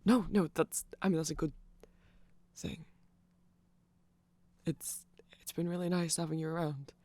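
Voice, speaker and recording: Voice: medium pitch, fairly even intonation, measured pace, a little mellow, no echo, lightly acted. A performer playing a nerdy, polite vampire shopkeeper in an ASMR roleplay, hesitant and stammering, rambling apologetically in casual chat. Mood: flustered, welcoming.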